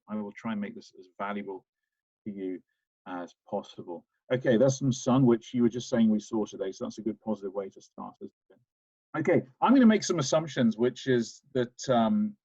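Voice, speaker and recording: A man's voice: medium pitch, dry recording. A man from the UK with a British accent, a management professor giving an earnest webinar talk over a video call with frequent restarts. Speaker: guest speaker, management professor. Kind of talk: webinar presentation. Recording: dry, dull lo-fi video call audio.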